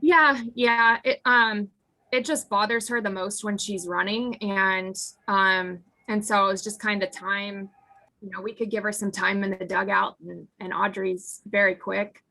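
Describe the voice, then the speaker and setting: A woman in her thirties, an American softball head coach, answering postgame press questions in an earnest way with a husky voice that is hoarse from screaming. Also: medium pitch, steady tone, measured pace, low-quality recording. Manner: earnest, restarts sentences often.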